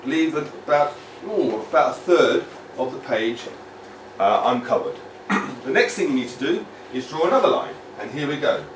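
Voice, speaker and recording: A British man from the United Kingdom, an English teacher giving a lively lesson to children, repeating words and asking the viewer questions. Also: medium pitch, normal speed, slight background noise. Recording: echoey room, mic at a distance.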